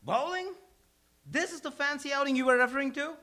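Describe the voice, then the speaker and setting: A man's voice: very high voice, a little fast, pushed and bright. A man playing a pompous, indignant, needling bar worker in a live sitcom table read. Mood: indignant, mocking.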